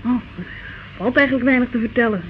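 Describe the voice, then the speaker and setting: Very high-pitched, flustered delivery lad. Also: male voice, steady tone, brisk. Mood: defensive, flustered. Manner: hesitant, then defensively offhand. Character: cheeky, flustered young café delivery worker. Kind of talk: old radio drama acting. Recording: tinny, hissy old radio recording.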